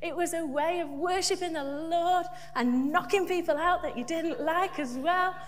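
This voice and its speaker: A woman's voice: very high-pitched, moderate pace. A woman preaching a light, humorous sermon in church, chatty and self-deprecating. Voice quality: big loud voice. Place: church hall. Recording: echoey church hall, faint hum.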